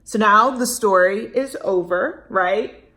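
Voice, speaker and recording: A woman's voice: mid-range voice, takes it slowly, pushed and bright. A woman reading a picture book aloud to children expressively, stretching words for emphasis and speaking to young listeners directly. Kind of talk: expressive read-aloud with chatty asides and questions.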